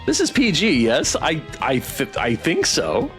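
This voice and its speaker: A man livestreaming a visual novel, reacting and reading aloud in a playful, expressive way. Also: a little high, unhurried, soft background music. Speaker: streamer playing a visual novel.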